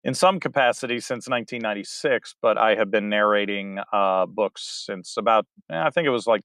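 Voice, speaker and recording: A man talking about his work in a relaxed, rambling way, often stopping to restart a thought. His pitch sits in the middle of the range. The sound is dry and close, with no room echo. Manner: rambling, hesitant with many restarts. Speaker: guest, audiobook narrator. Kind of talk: casual interview chat.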